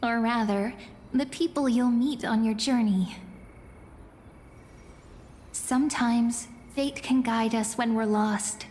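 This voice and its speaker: Young oracle girl, video game. Calm, thoughtful, unhurried, reverberant with faint ambient noise. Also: a little high. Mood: musing. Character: mysterious young female fortune teller, calm and musing.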